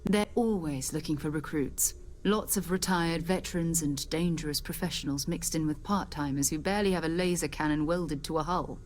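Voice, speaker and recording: Space captain for a game, female actor: lower register, composed authority, principled and earnest delivery. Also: moderate pace, natural voice. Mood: reassuring, businesslike. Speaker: expedition leader. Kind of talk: video game character dialogue.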